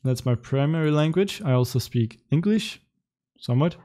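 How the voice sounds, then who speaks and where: A young man in his twenties from Denmark, a native Danish speaker, casually answering chat questions on a livestream from his room, relaxed and chatty with light laughs. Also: fairly high pitch, moderate pace. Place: indoor home room in front of a webcam.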